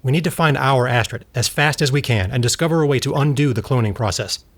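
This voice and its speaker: A man's voice: medium pitch, fast talker, close to an everyday voice. An actor playing an earnest male scientist in an audio drama, speaking in a precise, explanatory way. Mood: grave, urgent. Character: earnest male scientist, husband absorbed in his work.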